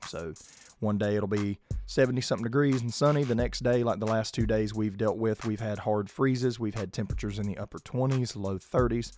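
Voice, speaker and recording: A man, hoarse and losing his voice, hosting a casual sports podcast and keeping his volume down. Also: lowish voice, steady tone, brisk, darkish tone, slight background noise. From South Georgia, US. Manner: restrained, holding his volume down. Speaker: podcast host.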